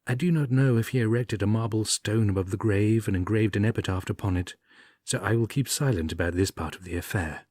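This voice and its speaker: Guy reading an old funny story, husky, kinda wry and expressive, really dry recording. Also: on the low side, a little fast, no room sound. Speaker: audiobook reader. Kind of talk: storytelling narration of an old comic tale.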